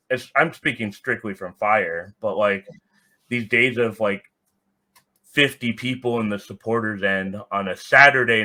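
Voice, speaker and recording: A man's voice: mid-range voice, sharp tone. A man giving casual, opinionated talk as a podcast guest, blunt in his manner. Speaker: podcast guest, soccer fan.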